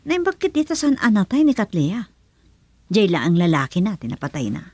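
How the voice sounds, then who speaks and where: An indignant, wounded young woman in a radio drama with a Filipino accent. Her pitch swings widely, and the sound is dry and close. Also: wildly swooping pitch, a little fast, a little mellow, no room sound. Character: hurt, indignant young woman betrayed in love.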